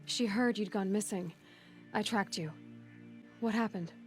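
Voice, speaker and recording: A woman playing a young, observant huntress in a video game, speaking in a measured, low-key way. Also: faint music behind, close to an everyday voice. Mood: calm questioning. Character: young female hunter, observant, calm, tracking alone. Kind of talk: video game dialogue, musing to herself.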